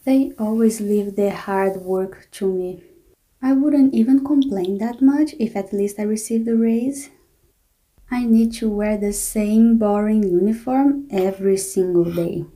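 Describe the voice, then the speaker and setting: A young woman voicing an unhappy office worker, speaking a complaining inner monologue in a weary, flat way. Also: mid-range voice, a little slow, close to an everyday voice, poor audio. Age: young adult. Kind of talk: scripted inner-monologue narration.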